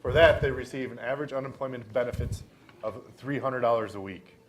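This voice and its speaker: A man's voice: mid-range voice, fairly quick, slight background noise. A young man reading a political speech aloud at a live student performance. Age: young adult. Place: college library event room.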